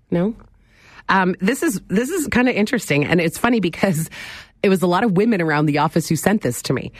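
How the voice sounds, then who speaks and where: A middle-aged woman hosting a morning radio talk show, chatty and animated as she talks straight to her listeners. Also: fairly low pitch, no echo, studio quality. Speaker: radio host. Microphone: studio microphone.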